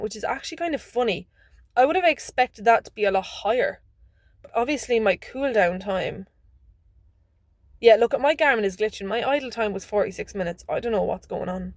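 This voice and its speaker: A woman chatting casually to her camera in a rambling vlog, her voice a little hoarse and phlegmy. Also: fairly high pitch, fairly quick, no echo, clean studio sound. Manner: chatty, rambling, restarts mid-word. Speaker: vlogger.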